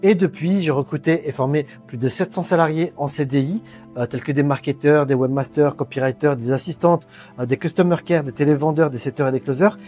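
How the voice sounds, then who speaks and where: A middle-aged man giving an earnest sales pitch for a training program, talking straight to the listener and stumbling over frequent fillers. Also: fairly even intonation, a little fast, mellow. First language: French. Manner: hesitant, many fillers, earnest persuading. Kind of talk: direct-to-camera sales pitch. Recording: faint background music.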